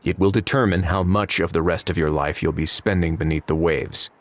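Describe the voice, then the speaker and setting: A man playing a laid-back but authoritative investigator in a video game, speaking with dry, measured calm. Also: sits low, a little hiss or hum, natural voice. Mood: stern, measured. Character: laid-back, authoritative male prison-official investigator, dryly wry.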